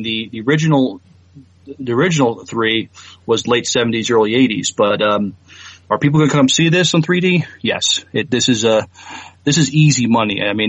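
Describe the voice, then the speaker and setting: A man's voice: medium pitch, fairly bright tone, dry recording, lo-fi sound. A man co-hosting a podcast, chatting casually about entertainment news and often thinking aloud in a hesitant way. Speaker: podcast co-host.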